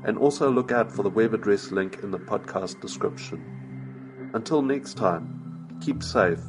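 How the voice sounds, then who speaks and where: Low voiced guy hosting a podcast, scripted outro, music behind him. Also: expressive, unhurried. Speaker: podcast host. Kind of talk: scripted podcast sign-off narration.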